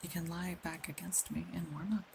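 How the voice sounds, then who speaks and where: A woman voicing a caring, protective female werewolf in an intimate ASMR roleplay, speaking gently and confidingly with pauses. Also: lowish voice, fairly even intonation, creaky, heavy background noise. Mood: tender, reassuring. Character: caring, protective female werewolf, older than she looks, wistful. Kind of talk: ASMR roleplay, intimate scripted acting addressed to one listener.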